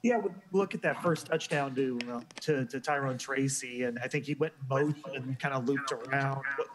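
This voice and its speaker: A man's voice: medium pitch, moderate pace, fairly bright tone, a little hiss or hum. A man, a sports reporter, asking long, rambling questions at a press conference.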